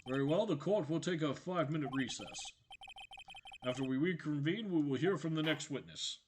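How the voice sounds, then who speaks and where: A man's voice: on the high side, slight background noise, lightly acted. A man doing a formal old judge. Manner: formal, measured announcements. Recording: game music playing behind.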